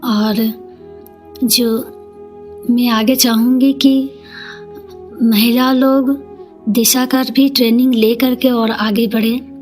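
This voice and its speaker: A woman's voice: on the high side, fairly even intonation, slow pace, pauses often, a little mellow, faint music behind. A middle-aged woman, speaking earnestly and plainly as she tells her own story in an interview. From Jharkhand, India. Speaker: interview guest, community leader.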